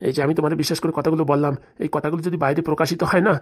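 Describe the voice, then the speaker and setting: Casting: female performer for plotting, resentful village husband in cartoon, low register, breakneck delivery, confiding. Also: flat delivery, racing. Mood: urgent. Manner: confiding, insistent, urgent. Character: resentful henpecked husband, furtive, plotting against his wife. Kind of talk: comedy cartoon dialogue.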